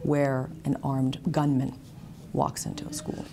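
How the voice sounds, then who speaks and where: Low, creaky woman interviewer. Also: little pitch movement, measured pace, background noise. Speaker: reporter asking questions. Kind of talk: interview questioning.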